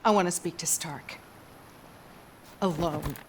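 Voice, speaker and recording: Low-voiced, sardonic villainess. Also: female voice, slow pace, slight background noise. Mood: guarded, defiant. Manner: defiant, dry. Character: guarded, sardonic female tech villain and prisoner. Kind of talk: video game voice acting. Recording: loud music over the voice.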